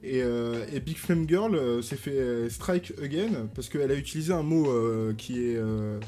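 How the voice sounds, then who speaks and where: Male stream co-host, mellow mid-range voice, mock-formal and joking, light music behind. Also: mid-range voice, measured pace. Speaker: livestream co-host. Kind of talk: casual chat, reading viewers' messages. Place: indoor room, livestream.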